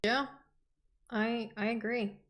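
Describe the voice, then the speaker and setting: A woman's voice: fairly high pitch, fairly even intonation, slow pace. A young adult woman chatting informally as a podcast co-host. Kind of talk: casual chat.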